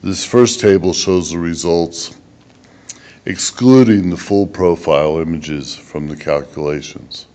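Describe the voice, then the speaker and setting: A man giving a technical conference presentation as a researcher, in a measured, halting way with occasional stumbles. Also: deep voice, warm tone.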